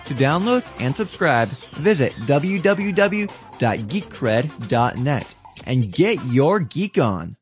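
A man's voice: a little high, very animated, takes it slowly. A man reading a scripted podcast promo with punchy announcer delivery. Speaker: podcast host. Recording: guitar music bed behind voice. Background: guitar music.

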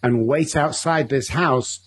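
A man's voice: medium pitch, takes it slowly, a bit bright, husky. A man with a Manchester accent, a guest telling his life story openly in a long, rambling interview answer. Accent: Mancunian English. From Manchester, England. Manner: candid, rambling storytelling with repeated restarts.

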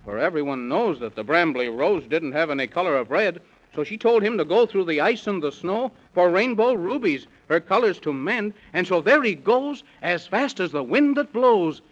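A man's voice: high voice, expressive, normal speed. A man telling a rhyming children's story in a singsong, theatrical 1930s radio style, on a lo-fi old recording. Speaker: storyteller announcer.

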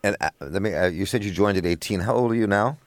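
A man hosting a radio interview series, asking measured, slightly halting questions. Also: fairly low pitch, no echo. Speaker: interviewer and host.

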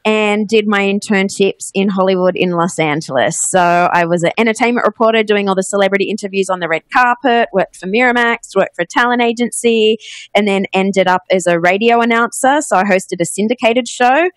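An Australian woman in her forties talks easily as a podcast guest, upbeat and quick to laugh. Her voice sits at an ordinary pitch. The sound is dry and close, with no room around it. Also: no echo. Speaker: podcast agency head, guest. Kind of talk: conversational interview.

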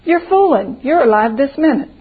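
A woman's voice: medium pitch, moderate pace, breathy edge, phone-quality sound. Woman playing a frightened, frail little country boy. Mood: disbelieving. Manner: plaintive, folksy old-time country dialect.

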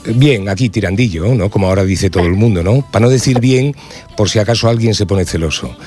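A man with a fairly low voice hosts a relaxed radio interview from the studio. He talks chattily and hesitantly, filling pauses often. Soft music sits faintly underneath. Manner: hesitant, chatty, many fillers. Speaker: radio host.

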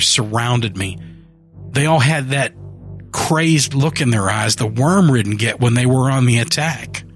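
A man narrates a dark first-person horror tale at a slow, deliberate pace, his pitch sitting right in the middle. The telling is grim and steady, with a soft bed of music underneath. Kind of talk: scripted horror story narration.

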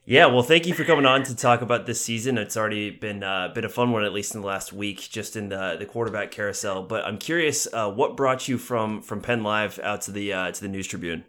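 An American man with a fairly low-pitched voice speaks as a podcast host. He puts questions to a guest in a conversational sports interview style. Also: a little fast, few pauses, fairly bright tone. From Seattle, United States.

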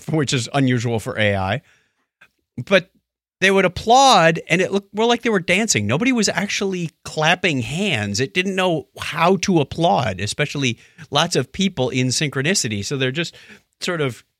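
A male podcast co-host with a lively, swooping delivery and a bright, forward voice. He chats casually, in a wry and joking way, with a touch of disbelief. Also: on the high side, normal speed, dry recording, studio quality.

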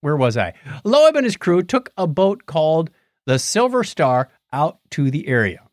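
A man's voice: on the high side, dry recording, studio quality. A man with a wry, joking manner, co-hosting a podcast and reading news articles aloud in casual chat. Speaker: podcast co-host reading odd news stories.

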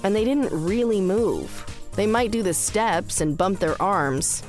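A woman's voice: medium pitch, animated, unhurried, darkish tone. A woman reading scripted story narration for audio fiction. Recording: roomless dry studio, faint music underneath. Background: faint music.

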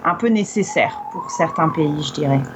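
A woman's voice: low-pitched, expressive, normal speed, background noise. Hesitant woman from northern France. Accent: northern French. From Lille, northern France. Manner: hesitant, many fillers and restarts. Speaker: charity volunteer guest. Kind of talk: casual podcast interview. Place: lives in Melbourne.

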